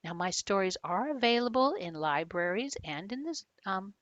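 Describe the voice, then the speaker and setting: An older woman reading a picture book aloud to children, talking to them directly with questions and expressive storytelling. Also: mid-range voice, normal speed, no echo, muffled recording. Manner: addresses young listeners directly with questions.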